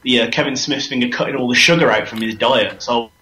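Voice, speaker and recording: A man with a hoarse, sore-throat voice, hosting a podcast in a chatty, enthusiastic, self-deprecating way. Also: medium pitch, fast talker, bright, forceful tone, a little hiss or hum. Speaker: podcast host. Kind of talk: chatty solo podcast intro and interview. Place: room at home. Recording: dull, lo-fi home podcast recording.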